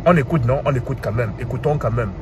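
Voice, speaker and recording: Man, thirties, Cameroonian French accent, animated, with street traffic heard clearly behind. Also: medium pitch, fast. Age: 30s. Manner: animated, asks rhetorical questions, repeats "hein". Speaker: commentator. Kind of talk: casual monologue.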